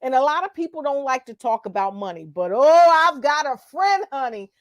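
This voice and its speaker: A woman hosting an online event, excited and whooping as she brings in the guests. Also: high-pitched, normal speed. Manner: excited, whooping, hypes up the crowd. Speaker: event host.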